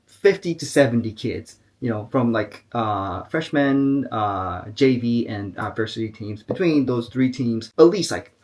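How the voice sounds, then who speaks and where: A man with an American accent, co-hosting a podcast and telling a story in a casual, lively way with many fillers. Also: medium pitch, measured pace. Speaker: podcast co-host. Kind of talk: casual chat.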